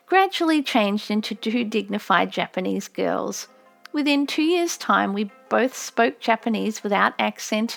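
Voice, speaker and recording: A woman reading an audiobook in an expressive, gentle, measured storytelling style, with music behind her. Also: medium pitch, gravelly. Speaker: amateur audiobook narrator. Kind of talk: expressive scripted audiobook narration.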